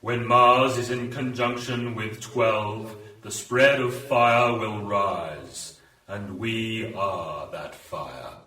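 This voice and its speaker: A man's voice: lowish voice, drawn-out words, bright, forceful tone, far from the mic, exaggerated voice. A man playing a solemn, fanatical cult leader in an amateur science fiction radio play, pompous and prophetic. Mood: solemn, triumphant. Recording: roomy, echoing, off-mic.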